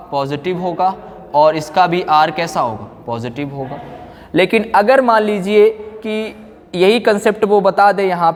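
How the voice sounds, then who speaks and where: A man teaching physics in a didactic way, often asking questions and answering them himself. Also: sits high. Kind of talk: classroom lecture, explaining formulas. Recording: echoey hall-like reverb.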